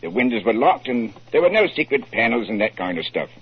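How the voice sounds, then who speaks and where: An actor in a 1940s radio drama playing a genial, deferential London police inspector. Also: male voice, mid-range voice, very animated, a little fast, breathy edge. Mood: matter-of-fact. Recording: muffled lo-fi 1940s radio recording.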